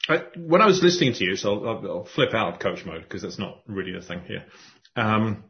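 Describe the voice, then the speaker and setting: A man hosting a podcast conversation, talking in animated spurts and often restarting his sentences. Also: lowish voice, a little fast. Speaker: podcast host and coach. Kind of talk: casual conversation. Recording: muffled lo-fi audio.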